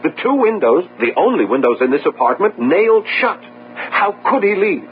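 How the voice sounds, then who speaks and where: Young earnest French cop, halting melodramatic delivery, wide-swinging pitch, tinny vintage radio drama. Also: male voice, sing-song. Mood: baffled, urgent. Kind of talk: old-time radio drama acting. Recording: noisy, narrow-band old radio recording.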